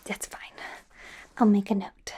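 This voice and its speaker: A woman playing a friendly, self-correcting werewolf doctor in an ASMR roleplay, speaking in a soft, gentle, hesitant whisper. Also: a little slow, close to an everyday voice. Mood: flustered, sheepish.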